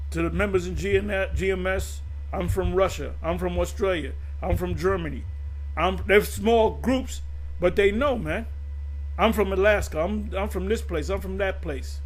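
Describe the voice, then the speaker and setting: A man with a husky, rather high voice gives informal religious commentary. He talks animatedly and bluntly, circling back over his points with repeated phrases and the odd curse. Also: poor audio. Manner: animated, repetitive, blunt with profanity. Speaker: religious group teacher.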